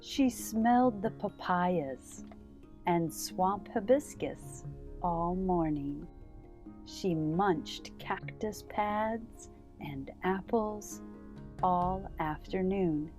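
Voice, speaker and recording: Slow-paced woman reading a children's story. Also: on the low side, darkish tone, faint music behind. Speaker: children's story time host. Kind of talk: read-aloud storybook narration.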